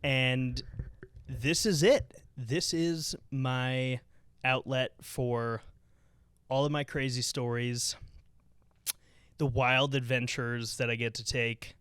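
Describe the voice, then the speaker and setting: A young man hosts a casual podcast from home, chatting loosely and circling back over his words. His voice is smooth and clear. The sound is dry and close. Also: medium pitch, steady tone, slow, long pauses, a bit bright, no room sound. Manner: chatty, rambling with many fillers. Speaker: podcast host. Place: home living room.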